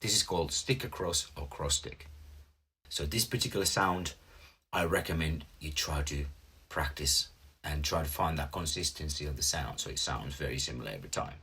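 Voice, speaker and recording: A man's voice: extremely low pitch, normal speed, noisy background. A man in his thirties with a Finnish accent, patiently explaining drum notation step by step as a teacher, with small slips in his English. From Finland. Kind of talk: instructional explanation.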